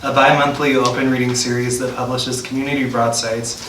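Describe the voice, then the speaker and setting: Male event host, bright tone, reading prepared introduction, echoey room with faint noise. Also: medium pitch, level tone, fairly quick. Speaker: host introducing a featured poet. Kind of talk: reading a scripted introduction aloud. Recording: roomy, distant mic, faint noise.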